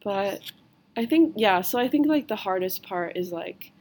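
A woman's voice: mid-range voice, lively intonation, normal speed, a little mellow. Chatty young woman, "like" in every breath. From United States. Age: 20s. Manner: says "like" constantly, loose and chatty. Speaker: podcast host. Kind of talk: casual chat.

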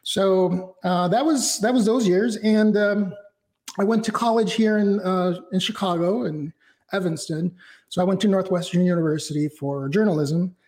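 High-voiced reflective man, interview guest. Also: normal speed, a little mellow. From Mexico. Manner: reflective, talks in long winding sentences with fillers. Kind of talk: casual interview.

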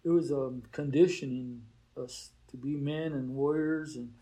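Unhurried older man with a mellow voice. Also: mid-range voice, steady tone, low-quality recording. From Oklahoma. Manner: unhurried, reflective, frequent fillers like "you know". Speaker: teacher at a boarding school. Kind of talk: relaxed interview conversation.

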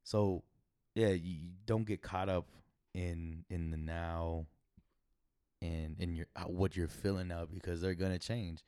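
A young man co-hosting a podcast with a raspy voice, talking thoughtfully with hesitations and restarts. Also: sits low, steady tone, measured pace, warm tone, no echo, studio quality. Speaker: podcast co-host. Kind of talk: casual chat.

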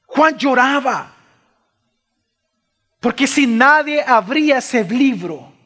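A man preaching a sermon as a pastor, impassioned and dramatic, swinging between loud cries and hushed lines, with rhetorical questions and repeated phrases. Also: extremely high pitch, expressive, takes it slowly. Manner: rhetorical questions and repetition for emphasis. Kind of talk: sermon, expository Bible preaching.

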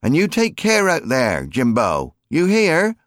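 A man's voice: a little high, huge pitch swings, measured pace, fairly bright tone, no echo, clean studio sound, voice pushed up, exaggerated voice. A man voicing a firm, fond country wife and mother in an audiobook, scolding in folksy, no-nonsense speech. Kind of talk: audiobook character acting.

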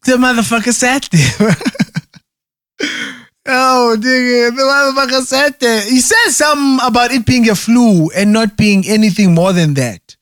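A man's voice: extremely high pitch, unhurried, fairly bright tone, no room sound, clean studio sound. A man in casual chat who rambles, restarts often and keeps checking in with "right?". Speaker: conversation partner.

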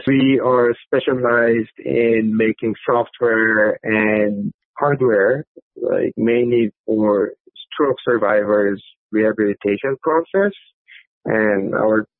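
A man in his thirties from South Korea with a Korean accent, giving halting, conversational interview answers peppered with filler words. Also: lowish voice, no room sound. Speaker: podcast guest, company executive. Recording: thin, narrow phone-like line.

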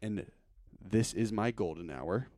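Low-voiced, jokey young podcast host. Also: male voice, unhurried, dry recording. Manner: relaxed, jokey, calls people bro. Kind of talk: casual podcast interview. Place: recording studio.

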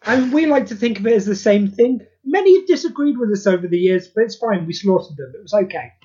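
A man's voice: high voice, animated, quick pace, mellow, breathy edge. A man co-hosting a podcast, teasing with dry, sarcastic humor in casual chat. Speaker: podcast co-host. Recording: dull lo-fi call audio.

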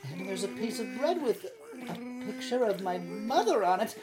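A woman's voice: a little high, lively intonation, moderate pace, music in the background, lightly acted. A woman playing a scandalized, exasperated mother in a comedy radio play, with a dramatic, incredulous manner.

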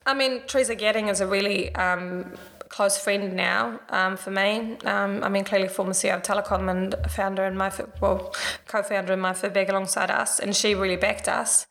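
A woman's voice: mid-range voice, fairly even intonation, moderate pace, vocal fry, fairly bright tone. A middle-aged woman from Sweden with a Swedish accent, answering interview questions in a chatty, casual way full of "like" and "you know". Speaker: interview guest, business founder.

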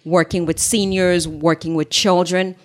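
Low-voiced woman telling her story. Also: fairly even intonation. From Haiti. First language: Haitian Creole. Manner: earnest, measured storytelling. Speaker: interview guest, business founder.

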